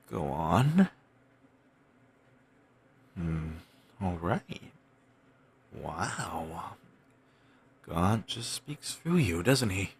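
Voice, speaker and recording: A man playing a wistful, self-doubting wandering bard in an intimate audio roleplay, musing in courteous, formal phrases with thoughtful pauses and a gravelly, husky voice. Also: low-pitched, fairly bright tone, exaggerated voice. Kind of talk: intimate one-sided audio roleplay acting.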